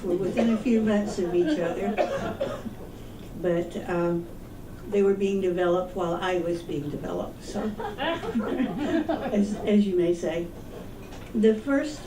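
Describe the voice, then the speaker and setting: A woman in her seventies giving a talk at a meeting, speaking softly and with hesitant restarts. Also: on the low side. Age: 70s. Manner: soft-spoken, hesitant restarts. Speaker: guest speaker. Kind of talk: public talk. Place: meeting room. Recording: echoey room, far from the mic, noisy.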